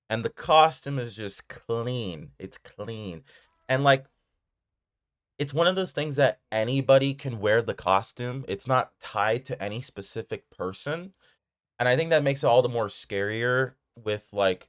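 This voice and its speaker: A man giving casual, enthusiastic commentary on films in a rambling, informal way. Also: medium pitch. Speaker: video creator reviewing horror films.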